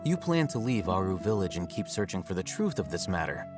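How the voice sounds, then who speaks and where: An actor playing an aloof, rational young scholar in a video game, speaking in a measured, matter-of-fact, slightly condescending way. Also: male voice, low voice, big pitch swings, music in the background. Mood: cool. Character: aloof, rational young male scholar, coolly self-assured.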